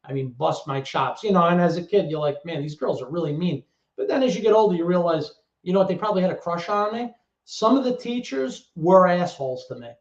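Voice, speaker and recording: A man, telling stories as a guest in a casual interview chat. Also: high voice. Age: 30s. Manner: animated storyteller with quick asides. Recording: echoey lo-fi call audio.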